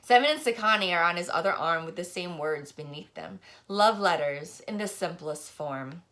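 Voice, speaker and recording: A woman reading a first-person novel aloud as scripted audiobook narration, with expressive delivery. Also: lowish voice, normal speed. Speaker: audiobook reader.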